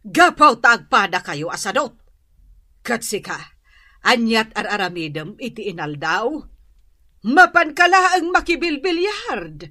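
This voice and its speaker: Stern ilocano filipino mom in a radio drama, slowish and really animated, dry studio sound. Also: female voice, fairly high pitch, dry recording, full character voice. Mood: scolding. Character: stern, nagging middle-aged mother.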